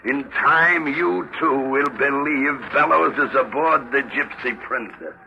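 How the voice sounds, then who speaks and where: An actor playing a haunted, explosive sea captain with a commanding manner in a 1940s radio mystery. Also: male voice, mid-range voice, unhurried, vocal fry, muffled recording, exaggerated voice. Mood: obsessive, urgent. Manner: commanding, erupting into frantic shouted orders. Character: haunted, explosive ship's captain obsessed with a ghost. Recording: old 1940s radio, music behind.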